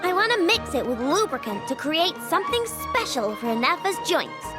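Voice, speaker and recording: Very high-pitched spirited little girl. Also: very animated, normal speed. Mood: confident, breezy. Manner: breezy, boastful, coaxing. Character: spirited young girl inventor, stubborn and chatty. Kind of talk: video game voice acting. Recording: background music behind the voice.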